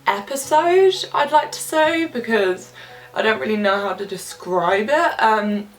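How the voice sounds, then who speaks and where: Twenty-ish girl, bit of a cold, chatty and full of likes, sounds a little far off with quiet music behind. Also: medium pitch, expressive, unhurried. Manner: candid, chatty, heavy use of filler words. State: slightly stuffy from a cold. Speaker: vlogger. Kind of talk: casual chat to camera. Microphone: camera some distance away.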